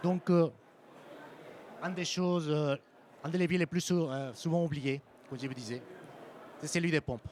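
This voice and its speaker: A man with a Mexican Spanish accent giving a conference presentation as a sales engineer, pausing often with ums and restarting phrases. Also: a little high, steady tone, a little mellow, a little hiss or hum. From French-Mexican. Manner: hesitant, frequent ums and restarts. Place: conference hall.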